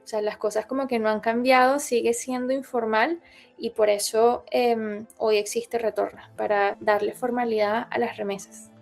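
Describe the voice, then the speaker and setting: Woman, young adult, fairly high voice, hesitant, fillers and restarts, interview, soft music behind. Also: steady tone, normal speed, creaky. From Colombia, raised in Venezuela. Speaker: interviewee, founder. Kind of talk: interview testimony.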